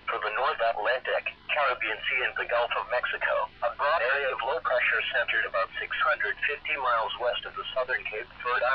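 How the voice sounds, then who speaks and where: A synthetic male voice reads a scripted weather forecast as a radio announcer, measured, stiff and impersonal. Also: medium pitch. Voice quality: synthetic text-to-speech voice. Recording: dull, noisy weather radio broadcast.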